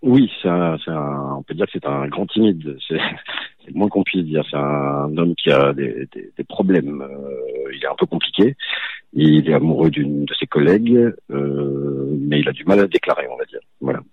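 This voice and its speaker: An actor raised in Toulouse, chatting in a relaxed way on the phone from his mother's home, with lots of fillers and easy agreement. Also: very deep voice, moderate pace, slightly rough, no room sound. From southern France, raised in Toulouse. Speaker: actor guest. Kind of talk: casual phone interview. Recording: dry, tinny phone line.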